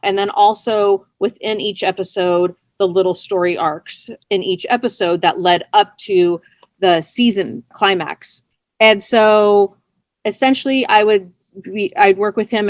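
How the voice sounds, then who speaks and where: A woman being interviewed, telling stories casually in a chatty, expressive and self-effacing way. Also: medium pitch, no room sound. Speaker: interview guest, audio drama writer. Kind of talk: casual conversational storytelling.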